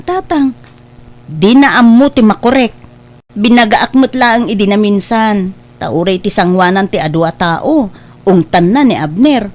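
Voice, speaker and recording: Woman voicing a gentle devoted mother, slightly high voice, soft and respectful. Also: lightly acted.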